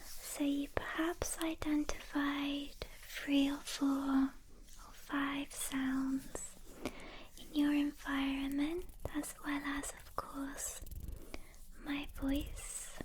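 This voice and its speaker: Female ASMR meditation host: soft breathy whisper, extremely unhurried, monotone and soothing, clear noise and hand sounds behind. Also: high-pitched. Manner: gentle, soothing, with long pauses between short phrases. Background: soft hand sounds and clicks.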